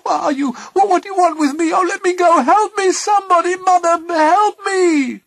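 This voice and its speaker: A frightened young woman from a storybook, performed by a man, pitched very high and shrill. She cries out loudly and pleads in desperation. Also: normal speed, creaky, no echo, heavily acted. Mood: panicked. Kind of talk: storybook character voice.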